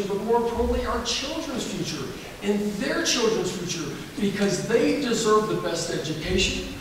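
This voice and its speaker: A man's voice: sits high, noisy background. A man with a Southern US accent, a candidate for governor answering at a forum in an emphatic, folksy, repetitive way. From Alabama. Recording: echoey hall from far off.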